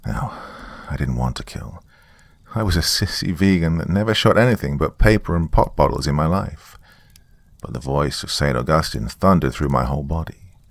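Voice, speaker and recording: A man with a very deep voice narrates a dramatic first-person tale. His delivery is deliberate and folksy, and the recording is dry and close. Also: lively intonation, creaky, no room sound.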